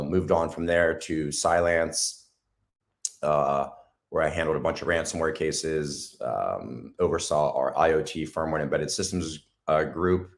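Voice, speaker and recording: Low-voiced hoarse male guest, halting and casual. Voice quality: raspy. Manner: halting, lots of ums and restarts. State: hoarse from days of talking. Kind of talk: casual interview talk.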